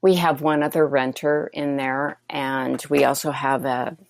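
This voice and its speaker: A woman in her sixties from the Pacific Northwest, calling in to a radio talk show and explaining slowly and haltingly. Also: extremely low pitch, steady tone, creaky, no room sound. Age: 60s. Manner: hesitant, halting, with fillers. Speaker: caller. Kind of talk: phone-in question on a talk show.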